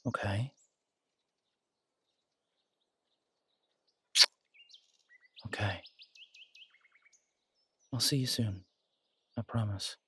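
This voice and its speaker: A man playing a loyal young male werewolf alpha in an audio roleplay, wry and protective, with a low-key, halting delivery. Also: fairly bright tone, husky, exaggerated voice. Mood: tender, reassuring.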